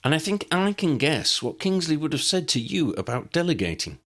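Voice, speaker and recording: A spirited young woman reporter, as a man voices her, brisk and forthright. Her voice is pitched well above the reader's low tone and swings widely through this storybook reading. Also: big pitch swings, fairly bright tone.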